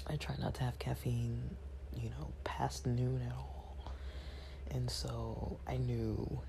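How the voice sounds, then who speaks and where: Raspy, gravelly man in flat half-whispers. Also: mid-range voice, slow, background noise. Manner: rambling, many fillers, half-whispered. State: a bit unwell, rested. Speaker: channel host. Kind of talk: casual monologue to viewers. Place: at home.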